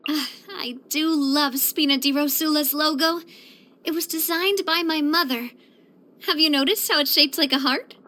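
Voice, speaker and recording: A woman's voice: sits high, moderate pace. An actress playing a spirited, proud and affectionate young woman boss in a video game, with a theatrical, bubbly delivery. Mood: breezy, fond. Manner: theatrical, expressive, bubbly, with playful grandiosity. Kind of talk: scripted video game character voice lines.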